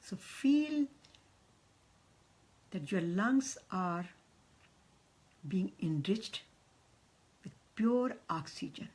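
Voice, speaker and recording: Slow, breathy woman guiding meditation. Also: mid-range voice, sing-song. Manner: slow, soft, half-whispered guidance. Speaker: meditation guide. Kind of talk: guided meditation.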